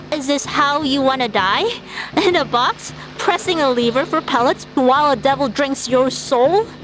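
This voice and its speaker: An actress playing a defiant rebel hacker who delivers a menacing, urgent call to arms like a pirate broadcast. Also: female voice, high-pitched, poor audio. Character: defiant rebel hacker woman leading vampire hunters. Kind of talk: dramatic pirate broadcast monologue.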